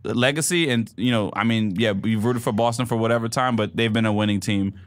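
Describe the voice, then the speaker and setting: A man's voice: on the low side, fairly quick. A man hosting a podcast, arguing sports points in casual banter and repeating his points. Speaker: podcast host.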